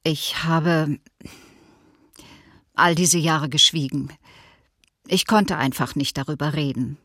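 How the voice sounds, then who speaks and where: A woman's voice: low voice, steady tone, slow, a bit bright, dry recording, studio quality, full character voice. An actress playing a pained, restrained female survivor giving testimony in a documentary radio drama. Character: elderly woman survivor breaking long silence, pained, restrained.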